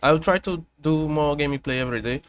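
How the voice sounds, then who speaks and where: A man's voice: a little high, slight background noise. A man, a vtuber talking casually to his stream, thinking aloud with many restarts. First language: Portuguese. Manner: halting, self-correcting, thinking aloud. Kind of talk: casual reaction stream chat. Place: home room with air conditioner hum.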